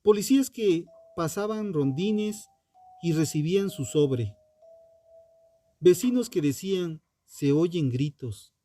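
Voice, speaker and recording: A man reading a scripted true crime narration in a solemn, dramatic manner, in short phrases with emphatic pauses. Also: a little high, mellow.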